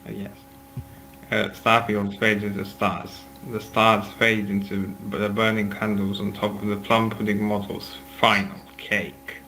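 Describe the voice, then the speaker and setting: A man's voice: lowish voice, level tone, a little slow, pushed and bright, poor audio. A man reading scripted narration and stage directions in an amateur table read. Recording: music behind the voice. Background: music.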